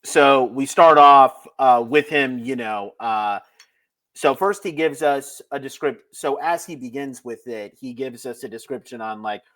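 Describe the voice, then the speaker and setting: Halting man reviewing on a podcast. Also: mid-range voice, a bit bright. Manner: halting, frequent filler words. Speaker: podcast co-host leading a review. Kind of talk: casual, unscripted review commentary.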